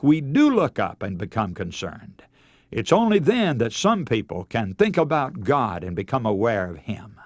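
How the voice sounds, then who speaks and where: A man preaching a radio sermon in a measured, earnest, oratorical way, telling illustrative stories. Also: mid-range voice, no echo, studio quality.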